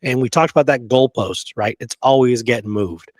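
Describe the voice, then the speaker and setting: Male, medium-pitched, conversational co-host, emphatic, rhetorical check-ins, dry close recording. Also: normal speed, darkish tone, no room sound. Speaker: podcast co-host. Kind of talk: casual conversational discussion.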